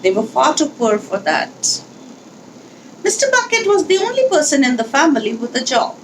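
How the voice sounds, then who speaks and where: A woman leading a children's read-along, speaking in an instructional, deliberate, explanatory way with pauses. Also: high voice, big pitch swings, a little hiss or hum, rough recording. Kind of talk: instructional talk and storybook read-aloud.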